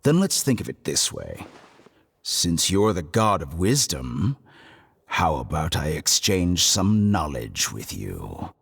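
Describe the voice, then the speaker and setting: An actor playing an arrogant, scheming harbinger and scholar in a video game, with a smug, deliberate, mock-courteous delivery. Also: male voice, extremely low pitch, very animated, gravelly, breathy edge, heavily acted. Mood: sly, bargaining. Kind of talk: video game voice acting, theatrical dialogue.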